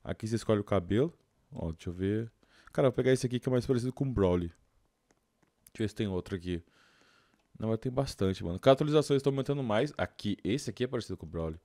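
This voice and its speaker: A man's voice: fairly low pitch, expressive, rapid-fire, dark tone, dry recording, studio quality. A young man with a Brazilian accent giving casual gameplay commentary, informal and slangy, thinking aloud. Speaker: gaming video host.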